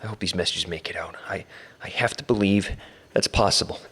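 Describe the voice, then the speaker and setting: A man playing a frightened but wry kidnap victim in an audio drama, recording halting messages for help. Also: low voice, fairly bright tone, slightly rough. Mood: afraid, hopeful. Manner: halting, self-correcting, wry.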